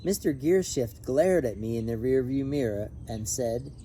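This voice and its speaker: Man, mid-pitched, mellow voice, very lively intonation, storybook read-aloud, faint background noise. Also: normal speed. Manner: animated, emphatic on repeated refrain. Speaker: pastor reading a children's picture book. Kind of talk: expressive storybook read-aloud with brief asides.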